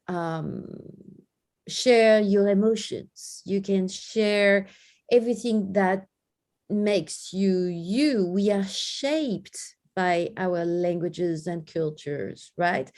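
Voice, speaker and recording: A woman with a French accent talks as an interview guest. She is earnest and emphatic and leans into her key points. Her voice sits at an ordinary middle pitch. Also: a little mellow. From France. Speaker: guest education researcher. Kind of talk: interview conversation.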